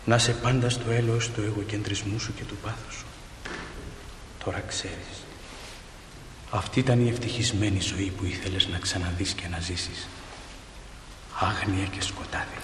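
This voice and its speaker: A man's voice: lowish voice, steady tone, long pauses, close to an everyday voice. An actor playing a bitter, cynical dead man in a radio drama, speaking in scornful declamation. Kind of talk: theatrical radio drama acting. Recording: hissy old recording, long cavernous reverb.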